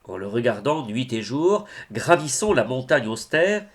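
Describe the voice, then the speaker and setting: Slow, expressive man reciting devotional poetry. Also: medium pitch. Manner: deliberate, declamatory phrasing with pauses.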